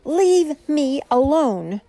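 A woman as a wary, creaky-voiced young drifter. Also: sits high, big pitch swings, very slow, noisy background, heavily acted. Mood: bitter. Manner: guarded, blunt. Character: wary, hardened young homeless woman, former addict. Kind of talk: radio mystery drama acting.

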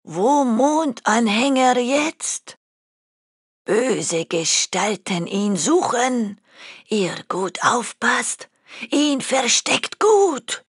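An old village chieftess from a video game, speaks very slowly in a husky, aged voice. She is wise and solemn, telling things in short broken phrases with an ominous air. The recording is close and dry. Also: fairly high pitch, sing-song, no echo, full character voice. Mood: warning, urgent. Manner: slow, broken clipped phrasing, ominous storyteller. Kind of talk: video game character acting.

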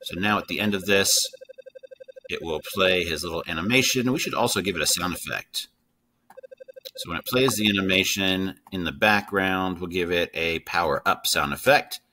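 A man's voice: low-pitched, moderate pace, background noise. A middle-aged man hosting a casual screen-share coding tutorial. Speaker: tutorial host.

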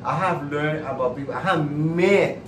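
A man's voice: fairly high pitch, normal speed. Emphatic Puerto Rican man, distant and echoey. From Puerto Rico. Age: middle-aged. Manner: emphatic, repeats phrases. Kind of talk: conversational, impassioned opinion. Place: indoor room hung with paintings. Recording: echoey room, distant mic, noisy background.

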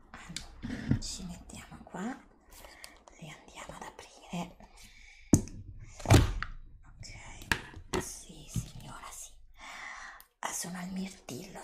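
Whispering woman, slow ASMR roleplay. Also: fairly low pitch. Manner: polite, attentive shop-server patter, soothing repetition. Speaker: ASMR performer playing a bubble tea bar server.

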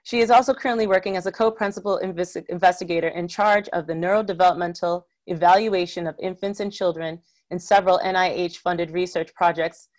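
A woman's voice: fairly low pitch, fairly even intonation, brisk, hardly any pauses, darkish tone. A woman moderating an online panel who introduces the speaker graciously, with some fillers, over a video call.

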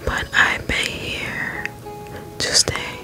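A woman whispering the slow, drawn-out verses of a folksy male frog boatman from a video game, ASMR style. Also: music in the background. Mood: wistful, easygoing. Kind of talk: ASMR read-out of game dialogue.

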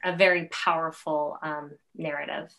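A woman in her forties hosting a conversation over a video call, choosing her words in a halting, deliberate way. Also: sits low, fairly even intonation, gravelly, fairly bright tone, rough recording. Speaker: interview host. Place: home room.

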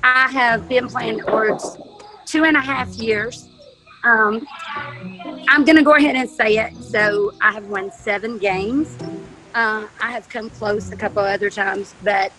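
A woman's voice: a little high, unhurried, rough recording. Chatty woman in her forties on a video call. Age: 40s. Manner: chatty, self-mocking. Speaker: contestant. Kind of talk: casual self-introduction on a video call. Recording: music underneath.

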